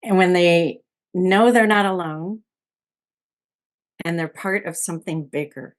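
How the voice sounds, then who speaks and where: Woman panelist, lowish voice, very slow and earnest reflection. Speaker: panel speaker. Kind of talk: closing reflection.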